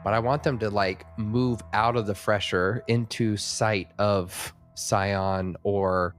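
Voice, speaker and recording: A man's voice: on the low side, steady tone, slow. A man playing a tabletop role-playing game, talking casually as he works out his moves. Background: faint music.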